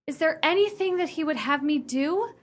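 A woman's voice: high voice, animated, normal speed. A woman acting an anxious, genteel widowed lady of the house in a radio play, refined, earnest and theatrical.

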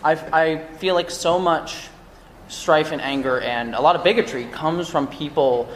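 A man's voice: fairly high pitch, unhurried. Guy on a panel, middle voice, rambly and earnest, lots of um and like, echoey room, faint hiss. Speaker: podcast panelist. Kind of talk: casual panel discussion. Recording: echoey live room, long reverb.

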